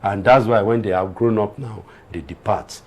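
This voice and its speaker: A man's voice: on the low side, normal speed, darkish tone. A man with a Nigerian accent giving earnest, moralising commentary as a guest lawyer on a TV panel, repeating points for emphasis. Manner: earnest, preachy, emphatic repetition.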